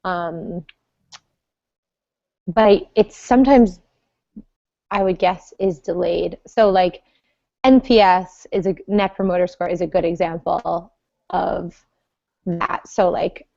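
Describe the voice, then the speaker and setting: A woman's voice: lowish voice, slow, gravelly, long pauses, darkish tone, no room sound, lo-fi sound. A woman on a podcast panel talking about support work, hesitant, with many ums as she thinks aloud. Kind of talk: casual panel chat.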